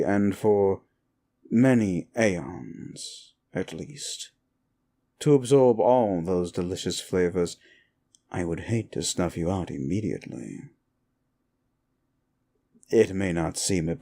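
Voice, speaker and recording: Man voicing a low, slow, soothing demon. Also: creaky, mellow, heavily acted. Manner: slow, deliberate, dryly menacing yet soothing. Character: male demon, sinister soul-eater grudgingly soothing a human. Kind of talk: comfort ASMR roleplay, intimate address to the listener.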